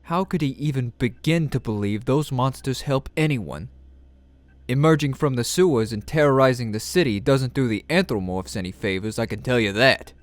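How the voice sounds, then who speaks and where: A man's voice: mid-range voice, moderate pace, no echo, close to an everyday voice. A man voicing a self-assured, plain-spoken military captain in a cartoon, reassuring yet dismissive. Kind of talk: animated film voice acting.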